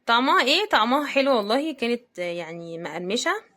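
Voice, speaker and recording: Higher-pitched Egyptian woman, casual unboxing chat. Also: very animated, fast talker, sharp tone. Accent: Egyptian Arabic. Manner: chatty, addresses viewers directly. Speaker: host of product unboxing videos. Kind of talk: casual unboxing commentary to viewers.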